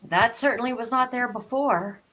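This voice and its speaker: A bright, slightly high storybook girl played by a woman, curious and polite. She talks to herself with wonder, as a well-mannered child who likes to reason things out. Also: normal speed. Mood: wistful, curious. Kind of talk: storybook live read.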